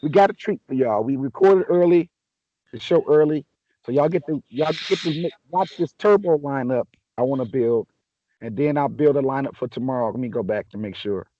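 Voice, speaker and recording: A man hosting a casual sports chat, a little raspy from a sore throat, talking in a laid-back, joking way with many restarts. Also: medium pitch, lively intonation, brisk, mellow, dry recording, highs cut off. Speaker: podcast host.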